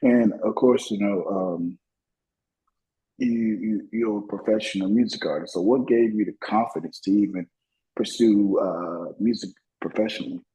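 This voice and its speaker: A man from Florida with an American accent and a husky voice, hosting a conversational interview in a halting way. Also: fairly low pitch, dark tone, low-quality recording. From Florida, United States. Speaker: music show host.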